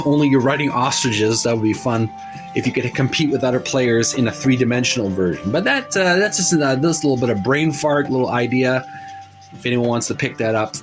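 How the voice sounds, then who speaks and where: Man, medium pitch, casual host, unscripted descriptive commentary, rambling, halting delivery, faint background music. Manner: halting, rambling, searching for words. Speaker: host of a retro video game art book show.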